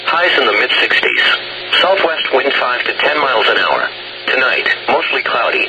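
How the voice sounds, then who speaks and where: A man's voice: medium pitch, lively intonation, normal speed. A man's synthetic text-to-speech voice, formal and mechanical with clipped phrasing, reading a scripted weather bulletin as a radio announcer. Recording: very noisy weather radio stream.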